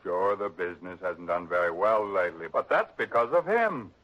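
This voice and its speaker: An actor playing a blunt, self-assured business partner in a 1960s radio drama. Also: male voice, deep voice, animated, normal speed. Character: blunt middle-aged businessman, dead man's partner. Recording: dull lo-fi old radio broadcast.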